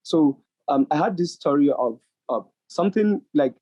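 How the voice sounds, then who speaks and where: Man, raspy, halting delivery, repeated words, lo-fi online call. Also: fairly high pitch, expressive, dark tone, no echo. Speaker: contributing poet. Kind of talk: unscripted answer at an online literary event. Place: at work, on a weak internet connection. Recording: muffled, lo-fi internet call, dry.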